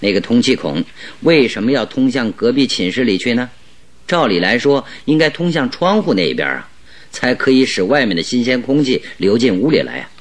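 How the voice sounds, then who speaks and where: 一位男演员在广播剧中饰演自信、善于追问的侦探，说话从容推敲，带有戏剧腔。. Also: male voice, mid-range voice, animated, natural voice. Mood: probing. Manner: inquisitive, deliberate, theatrical. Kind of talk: Chinese radio drama acting.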